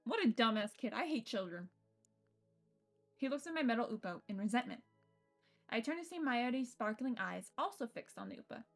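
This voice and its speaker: A woman with a fairly high voice streams a story game. She reads the text aloud and chats casually, playfully mocking and swearing, with soft music behind her. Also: lively intonation, moderate pace, pauses often.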